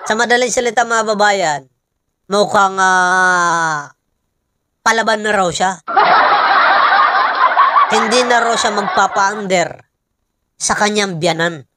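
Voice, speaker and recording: A Filipino woman giving informal political commentary, pausing often and emphatically putting rhetorical questions to listeners. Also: medium pitch, heavy background noise.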